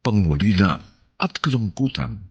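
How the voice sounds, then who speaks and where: A man's voice: lowish voice, animated, moderate pace, creaky, husky, no room sound, dull audio. A man with a Filipino accent giving solemn, dramatic, poetic narration for a radio drama. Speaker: narrator, host. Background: faint music.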